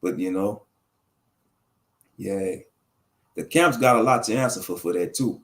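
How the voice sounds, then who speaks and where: A man's voice: low-pitched, a little slow, a bit bright. Male livestream commentator; loose, slangy, preachy ramble while reading the chat. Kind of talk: casual livestream talk answering chat.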